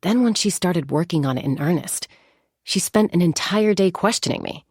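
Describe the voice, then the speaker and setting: An actress playing a composed, confident young warrior woman in a video game, speaking in a measured, articulate and reflective way. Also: fairly low pitch, expressive, a little mellow, breathy edge, dry recording, studio quality. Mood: wry, fond. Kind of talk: scripted game character monologue.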